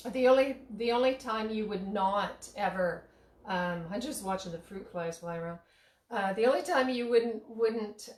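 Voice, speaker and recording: A woman chatting casually while cooking at home, rambling with many fillers and restarts, her voice slightly hoarse. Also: mid-range voice, reverberant. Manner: laughs at herself. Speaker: tea shop owner and cooking vlogger. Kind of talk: casual kitchen demo chat. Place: home kitchen.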